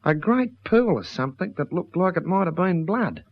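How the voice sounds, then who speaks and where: A man's voice: high voice, very animated, normal speed, heavily acted. An actor playing a good-natured Australian country man with a colloquial, confiding manner in a radio drama. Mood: uneasy. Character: rambling, good-natured Australian bush local. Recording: dull lo-fi radio recording.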